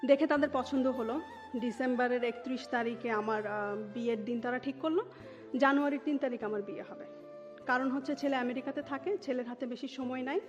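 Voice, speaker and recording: High-voiced Dhaka woman recounting her life. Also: steady tone, brisk. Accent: Dhaka Bangladeshi. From Dhaka, Bangladesh. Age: 30s. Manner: mixes English words into Bengali. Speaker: interview guest telling her life story. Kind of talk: personal life-story telling in an interview. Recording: dull muffled sound with music underneath. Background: music.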